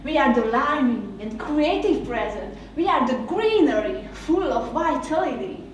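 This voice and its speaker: A woman with a Hungarian accent, whose first language is Hungarian, reads a reflective essay at an unhurried, deliberate pace. Her voice sits fairly high and lingers on each phrase. It echoes heavily around the room, and the mic is set back from her. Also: animated, recorded from a distance, a little hiss or hum. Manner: slow, measured, heartfelt delivery.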